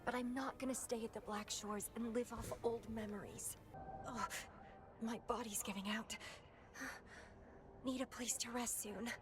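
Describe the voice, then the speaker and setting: A woman voicing a proud, unstable, haunted young woman in a video game, with breathy, theatrical delivery. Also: fairly high pitch, fairly even intonation, a little slow, lightly acted. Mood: weary, resolute. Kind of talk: dramatic video game voice acting. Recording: game music behind the voice.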